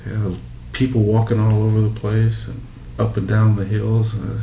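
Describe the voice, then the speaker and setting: Man, from Hawaii, lowish pitch, casual reminiscing, echoey room. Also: completely flat delivery, normal speed, creaky, mellow. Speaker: interviewee. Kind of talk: casual oral history interview, reminiscing. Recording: echoey room with background noise.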